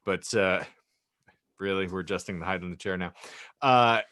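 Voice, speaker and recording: A man's voice: low voice, moderate pace, bright, forceful tone, no echo, studio quality. A man bantering on a podcast in a wry, wordy way, using mock-formal phrasing. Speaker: podcast co-host.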